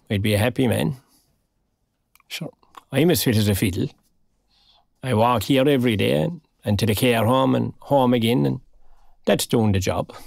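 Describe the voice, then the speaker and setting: An older Irish man played by an actor in an audio drama. His voice is gravelly and creaky, recorded close and dry. He talks with proud, kindly, wry humour, like a retiree set in his ways. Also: mid-range voice, darkish tone, no echo, natural voice. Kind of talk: naturalistic audio drama dialogue.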